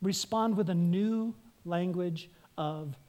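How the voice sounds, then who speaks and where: A woman's voice: fairly low pitch, takes it slowly, dark tone. A woman pastor delivering an earnest sermon, putting questions to her congregation and pressing her points.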